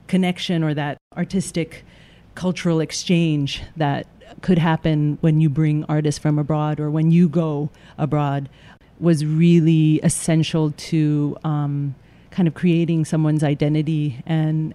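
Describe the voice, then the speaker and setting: Man, mellow warm tone, higher pitch, measured interview answers with fillers. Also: level tone. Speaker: theater company staff.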